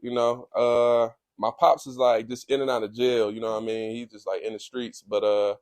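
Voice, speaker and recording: A young man with a Detroit accent, telling stories about his upbringing in a laid-back, slang-heavy way, with a low, calm voice. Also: moderate pace, dry recording. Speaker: guest, comedian. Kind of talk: casual interview chat.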